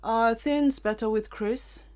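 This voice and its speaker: A woman playing a composed, supportive businesswoman in an audio drama, measured, polite and matter-of-fact. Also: on the high side, darkish tone.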